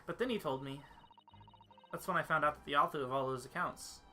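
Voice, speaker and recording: A precocious little girl acted out by an adult, chatty and cheerful in a fan-dubbed game. The voice is bright and forward, with an innocent, proud way of telling things, over background music. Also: medium pitch, brisk, natural voice. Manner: chatty, childlike, innocent phrasing. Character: precocious, cheerful young girl storyteller, proud of her father. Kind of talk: fan dub reading of game dialogue.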